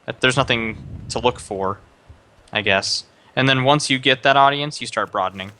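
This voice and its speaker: Bright-voiced young man giving advice. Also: mid-range voice, normal speed. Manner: rambling, many fillers and restarts, advising. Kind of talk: casual live-stream chat.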